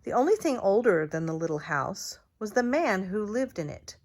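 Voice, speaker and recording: A woman reading a children's storybook aloud in a deliberate, expressive teacher's manner. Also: medium pitch.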